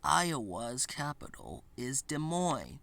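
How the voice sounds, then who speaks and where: A man reading a children's picture book aloud in a halting, deliberate way, with small stumbles. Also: medium pitch, very animated, creaky, fairly bright tone, a little hiss or hum. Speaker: storybook reader.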